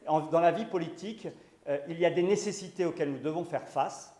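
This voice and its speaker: A man's voice: a little high, brisk, mellow. A man giving a conference talk, hesitant with frequent restarts and fillers, pushing hard on key words. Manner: emphatic, rising on key words. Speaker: lecturer. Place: conference hall. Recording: echoey room.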